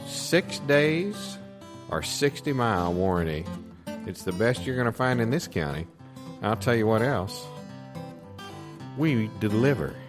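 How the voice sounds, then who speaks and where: A man's voice: on the low side, big pitch swings, a little slow, long pauses. A man improvising a folksy, bumbling used car lot salesman who stumbles through his parody radio ad. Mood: folksy boasting. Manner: bumbling salesman patter, stumbling over big words. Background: guitar music.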